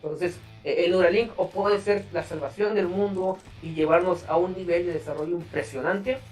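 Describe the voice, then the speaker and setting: A man's voice: high voice, steady tone, normal speed, recorded from a distance, faint music behind. A man with a Mexican accent hosting a podcast in a casual, slangy, profane way. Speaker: podcast host.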